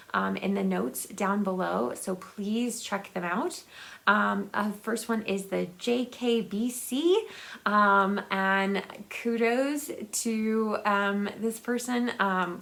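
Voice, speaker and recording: A woman hosting a book vlog, chatting casually and personally to viewers, often halting and repeating words as she searches for them. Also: mid-range voice, gravelly, a bit bright. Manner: informal, personal address to viewers. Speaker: host of a book video channel. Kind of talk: casual chat to camera.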